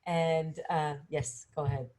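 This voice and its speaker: A woman's voice: low-pitched, level tone, warm tone. A woman hosting an interview show, talking enthusiastically with frequent fillers and restarts. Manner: enthusiastic, halting with many fillers. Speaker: podcast host. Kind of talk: casual interview chat.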